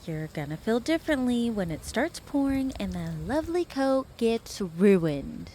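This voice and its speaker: Animated, high-voiced live-wire woman. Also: a little slow, a little hiss or hum. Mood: teasing. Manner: playful, teasing, animated. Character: lively, teasing woman housemate, a live wire. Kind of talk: naturalistic audio drama acting.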